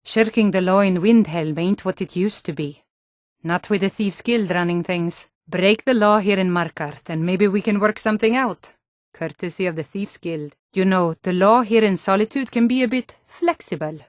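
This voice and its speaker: A tough Nordic guardswoman from a fantasy video game, played by a woman actor. She speaks in a medium-pitched voice, blunt and plain-spoken, with a wary edge to her short lines. The recording is dry and close, with no room around it. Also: normal speed, no room sound, lightly acted. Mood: sly, hinting.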